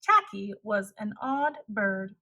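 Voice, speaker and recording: A woman reading a children's story aloud as narrator, expressive and deliberate. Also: medium pitch.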